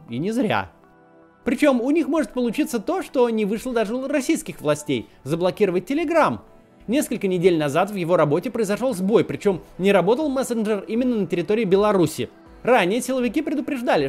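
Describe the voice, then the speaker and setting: A man's voice: high-pitched, darkish tone. A man hosting a political video, delivering scripted commentary with ironic, sardonic asides.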